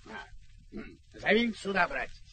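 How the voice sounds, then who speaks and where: An actor playing a simple, good-natured peasant squire in a radio play, with a folksy, homely and deferential manner. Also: male voice, sits high, huge pitch swings, moderate pace, bright, forceful tone, a little hiss or hum, exaggerated voice. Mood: affable. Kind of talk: Soviet radio play acting with comic singing. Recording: muffled old radio recording.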